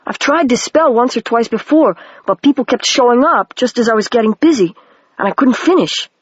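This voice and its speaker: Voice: mid-range voice, animated, fairly quick, husky, no echo, pitched above the performer's own voice. A shy, earnest young wizard boy, voiced by a single reader. He talks with a touch more lift than the reader's plain voice. The recording is dry and somewhat dull, like a home setup.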